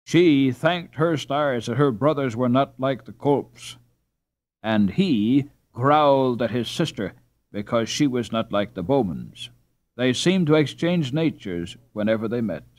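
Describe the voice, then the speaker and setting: Slow, animated male storybook narrator. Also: mid-range voice. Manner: measured, dramatic pauses. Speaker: audiobook narrator. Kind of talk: expressive scripted storybook narration.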